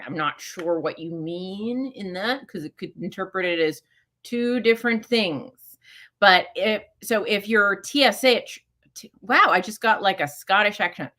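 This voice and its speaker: Bright-voiced chatty woman host. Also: fairly low pitch. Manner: chatty, many fillers and self-corrections. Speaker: host answering listener questions. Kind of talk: casual live Q&A.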